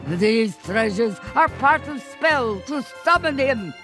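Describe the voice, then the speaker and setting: A gloating, cackling sorceress duck in a game cutscene. She speaks slowly in broken, heavily English, her voice swooping up and down. Loud music plays behind her. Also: fairly high pitch, expressive. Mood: scheming. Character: scheming sorceress duck, villainous, theatrical. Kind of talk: video game cutscene acting.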